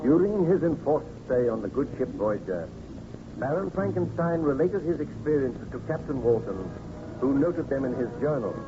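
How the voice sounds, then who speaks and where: A man announcing and narrating in a formal, measured old-time radio style. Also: medium pitch, big pitch swings, music in the background. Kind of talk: 1930s radio scripted narration. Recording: muffled, noisy old radio recording.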